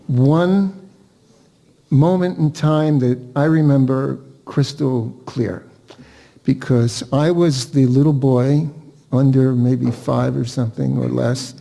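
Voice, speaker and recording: An older man giving an informal, personal eulogy, reflective and halting, with pauses. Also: medium pitch, creaky, dark tone. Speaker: family member giving a eulogy. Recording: spoken at a funeral service.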